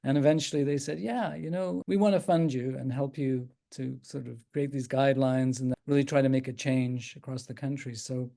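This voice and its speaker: An older man with an Irish accent, a psychiatrist speaking as a guest on a video call, thoughtful and halting with frequent restarts. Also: medium pitch, steady tone, vocal fry. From Ireland. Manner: thoughtful, halting with many restarts and soft laughs. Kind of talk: casual talk on a video call.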